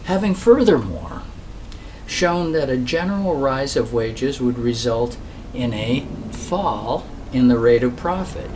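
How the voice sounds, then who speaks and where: A man reads a formal political speech at a slow pace, pausing and leaning on phrases for emphasis. His pitch sits in the middle range. The recording is distant, with clear noise behind the voice. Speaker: reader of a historical political speech. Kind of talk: reading aloud a formal speech, oratorical. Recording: distant mic, clear steady background hiss.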